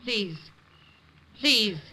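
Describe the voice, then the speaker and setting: A woman's voice: mid-range voice, animated, extremely slow, pushed and bright, full character voice. An actress playing a desperate sister begging a gunman to stop, in a 1950s radio drama. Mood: pleading. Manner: earnest, pleading. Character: pleading sister of the man under threat.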